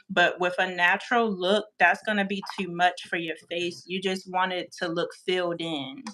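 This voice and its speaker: A woman's voice: fairly low pitch, pushed and bright. A woman in her thirties co-hosting a casual livestream, chatting with viewers while walking them through a makeup routine. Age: 30s. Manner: chatty, instructive, addresses viewers directly.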